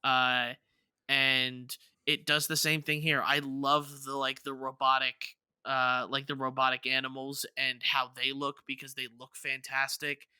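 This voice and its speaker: A man's voice: medium pitch, level tone, a little slow, bright, forceful tone, clear, resonant tone, dry recording. A man co-hosting a gaming podcast, chatting casually and circling back over his words as he talks. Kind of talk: casual chat about video games.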